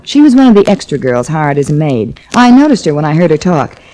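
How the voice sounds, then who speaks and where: Brief: spoiled, flirty American socialite, very animated delivery, mellow tone, vintage radio drama. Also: female voice, deep voice, a little fast. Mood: cool, probing. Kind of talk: 1940s radio acting. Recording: noisy restored 1940s radio recording.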